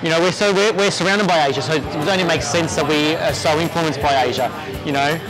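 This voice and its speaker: An easygoing Australian man with a voice that sits a little high for a man, chatting casually in an interview. He trips over his words and restarts often. Music plays clearly behind him in a restaurant. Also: low-quality recording. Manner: easygoing, halting with many restarts and fillers. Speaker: executive chef, interview guest.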